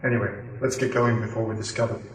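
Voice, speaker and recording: A man's voice: on the low side, fairly even intonation, fast talker, a crowd in the background, close to an everyday voice. A man playing a smug, curious know-it-all uncle with a dry, self-assured manner in an amateur radio play.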